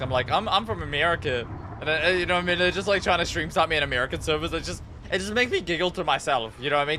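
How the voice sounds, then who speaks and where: A man's voice: fairly high pitch, noisy background. A man with a New Zealand accent chatting casually and informally on a gaming livestream, rambling and swearing freely. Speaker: live game streamer.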